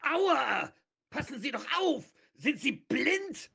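An actor playing a grumpy, demanding old man with a creaky, husky voice in a radio play. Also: very high-pitched, very animated, slow, bright, forceful tone, exaggerated voice. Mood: angry.

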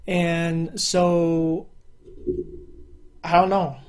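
A young man with a high-pitched voice that people on the phone often take for a woman's. He talks casually to a webcam from his room at home, rambling with fillers and restarts. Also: little pitch movement, drawn-out words. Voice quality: higher-pitched male voice, sometimes mistaken for a woman. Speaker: YouTuber. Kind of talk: casual solo commentary.

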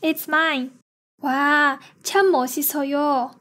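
A woman slowly reading lesson phrases and their translations with an even, spaced delivery. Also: high-pitched. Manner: slow, evenly spaced delivery. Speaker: lesson reader.

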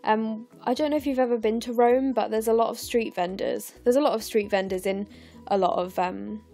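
A young English woman in her twenties, narrating her scrapbooking in a chatty, rambling way with lots of fillers, her voice croaky and tired late at night. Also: on the high side, steady tone, brisk, mellow, soft background music. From England. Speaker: scrapbooking vlogger. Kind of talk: casual voice-over narration of a craft process. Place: home, recorded late at night.

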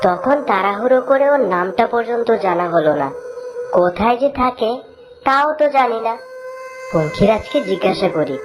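A woman's voice: mid-range voice, lively intonation, moderate pace, over music, natural voice. A woman voicing an earnest, polite young prince in a cartoon fairy tale, slightly declamatory.

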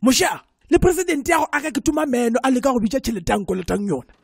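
Man voicing a high-pitched, brash South African cartoon schemer. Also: rapid-fire, vocal fry, husky, no room sound, studio quality, exaggerated voice. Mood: indignant, boastful. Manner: loud, excitable, mixes English into vernacular. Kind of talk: comedic cartoon dialogue.

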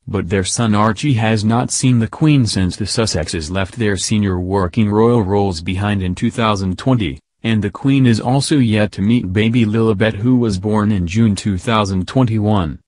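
A man narrating a scripted news piece in an even reading manner. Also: fairly low pitch, normal speed, hardly any pauses, no echo, studio quality. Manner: even, reading quotes in the same voice.

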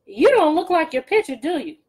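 A woman voicing a hungry, bad-tempered witch in a storybook, scolding in a shrill voice. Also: very animated, fairly quick, darkish tone. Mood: suspicious.

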